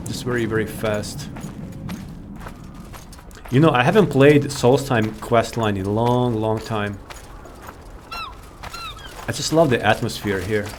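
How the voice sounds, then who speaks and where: A man's voice: medium pitch, a little mellow, slight background noise. A man giving rambling, informal and enthusiastic commentary as he hosts a video game showcase. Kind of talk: casual game walkthrough commentary. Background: game music.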